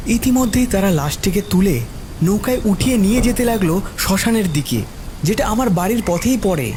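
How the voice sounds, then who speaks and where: A man's voice: sits high, a little mellow. Male horror story narrator over noisy ambience. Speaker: first-person story narrator.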